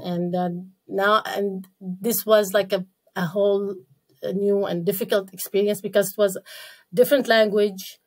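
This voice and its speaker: Une femme d'origine irakienne, hésitante. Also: female voice, mid-range voice, level tone. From Iraq. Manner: halting, many fillers and restarts. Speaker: podcast guest. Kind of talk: casual interview talk.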